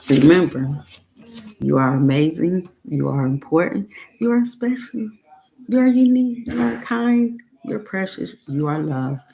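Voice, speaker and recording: A woman reads a guided self-help meditation at a very slow pace. Her voice has a gravelly fry to it, and she sets phrases apart with long, deliberate pauses. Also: lowish voice, expressive, warm tone, a little hiss or hum, poor audio.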